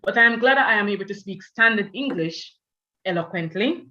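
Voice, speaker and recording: A woman's voice: medium pitch, lively intonation, brisk, bright, forceful tone, dull audio. A woman with a Jamaican accent hosting an online panel, talking loosely with many "you know" fillers. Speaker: event host.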